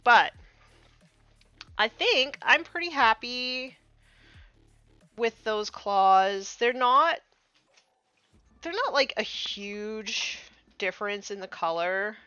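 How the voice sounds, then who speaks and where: A woman chatting casually on a painting live stream, a little congested from allergies, muttering soft asides as she works. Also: fairly high pitch, lively intonation, takes it slowly, long pauses, clean tone, no echo, soft background music. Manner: soft muttering asides, trailing off mid-task. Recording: slightly dull, dry sound.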